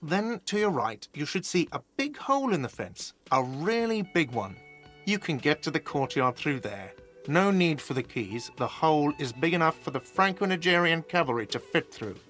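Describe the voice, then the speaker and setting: Irritable, sardonic cafeteria manager. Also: male voice, fairly high pitch, sing-song, moderate pace, fairly bright tone. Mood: curt, impatient. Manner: curt, sardonic, exasperated. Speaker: video game character. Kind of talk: game voice acting. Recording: music behind the voice. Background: game music.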